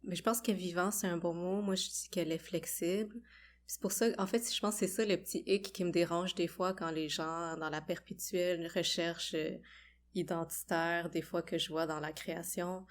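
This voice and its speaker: A woman's voice: lowish voice, fairly even intonation, moderate pace, a little hiss or hum. A young woman with a Quebec French accent, hosting a podcast and musing conversationally. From Quebec, Canada. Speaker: podcast host. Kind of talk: casual conversation.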